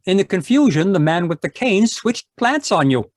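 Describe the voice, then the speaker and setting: An actor playing a tipsy, loyal sidekick in a 1940s-style radio detective drama. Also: male voice, sits high, sing-song, moderate pace, no echo, clean studio sound. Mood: helpful, wry. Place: home room, live video call performance.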